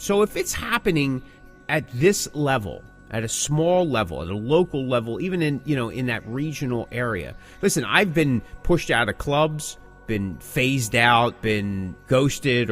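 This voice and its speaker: A man's voice: medium pitch, fairly bright tone. A man co-hosting a conversational podcast, speaking emphatically in short phrases and posing rhetorical questions. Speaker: podcast co-host and music interviewer. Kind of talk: conversational music industry podcast talk.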